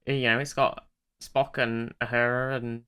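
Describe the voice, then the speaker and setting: A man co-hosting a podcast, chatting casually about sci-fi in an animated, excitable way, with some swearing. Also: medium pitch, unhurried, a little hiss or hum. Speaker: podcast co-host.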